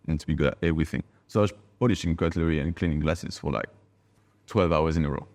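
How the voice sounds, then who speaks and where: This is a man with an extremely low voice and a French accent, his English clearly a second language after his native French. He talks in a reflective, conversational way, leaning on phrases for emphasis, as a guest telling his own story. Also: quick pace, darkish tone. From France, a small village in Bordeaux. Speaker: podcast guest, wine bar owner.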